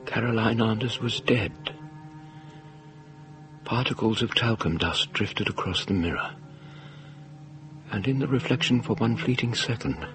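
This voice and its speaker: An actor playing an anxious, fussy, well-bred British gentleman in a ghost story radio drama, formal and urgent in manner. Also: fairly low pitch. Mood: grave narration. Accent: British English. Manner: formal, old-fashioned, urgent.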